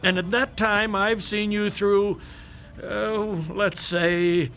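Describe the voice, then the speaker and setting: A sardonic, long-suffering older physician for radio drama. His voice is high for a man, and his phrasing is eloquent and drily patient, with faint music underneath. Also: a bit bright. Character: wry, urbane, long-suffering older male doctor.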